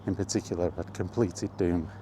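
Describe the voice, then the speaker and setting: Deep, mellow middle-aged man chatting casually. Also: steady tone, normal speed, slight background noise. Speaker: gaming vlogger. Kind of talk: casual rambling chat about retro gaming.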